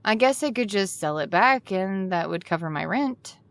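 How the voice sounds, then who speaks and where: Weary young woman, mid-pitched, casual and exasperated, audio drama lead. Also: animated, moderate pace, fairly bright tone. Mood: weary, unsure. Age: young adult. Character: weary, frustrated young woman, sarcastic with her best friend.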